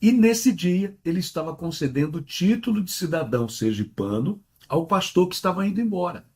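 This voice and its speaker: A man's voice: high-pitched, lively intonation, slightly rough. A middle-aged man, a pastor, speaking earnestly in an interview with a mixed Brazilian Portuguese accent and telling stories. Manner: earnest, measured, tells anecdotes with quoted voices. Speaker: pastor and church president. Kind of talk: interview guest, conversational storytelling.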